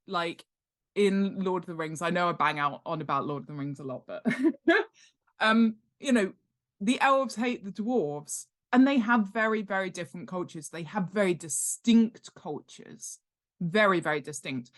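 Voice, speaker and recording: A middle-aged woman with a British accent explains her subject as a podcast guest. She speaks conversationally at a medium pitch and leans on repeated words for emphasis. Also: unhurried. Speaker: guest, author and world-building expert.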